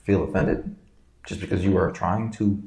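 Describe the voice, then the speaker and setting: A man co-hosting a casual chat, speaking in a relaxed, laid-back way. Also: low-pitched, normal speed, vocal fry. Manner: relaxed, laid-back, repeats himself when starting a thought. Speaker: co-host. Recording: echoey room.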